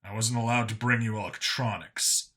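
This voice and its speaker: Man doing a sarcastic, bright-voiced vulture alien thief. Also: on the low side, moderate pace. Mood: confused. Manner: dripping sarcasm.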